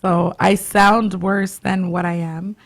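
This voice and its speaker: A woman hosting a casual radio chat, her voice raspy and hoarse after a cough, talking in a halting way and laughing often. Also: mid-range voice, flat delivery. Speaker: radio show host, spiritual doula.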